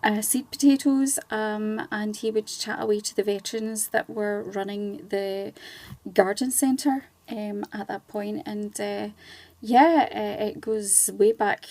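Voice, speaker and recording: A woman's voice: medium pitch, fairly even intonation, takes it slowly, darkish tone. A Scottish woman in her forties, a charity volunteer chatting as a guest on a radio interview, with many ums and restarts. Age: 40s.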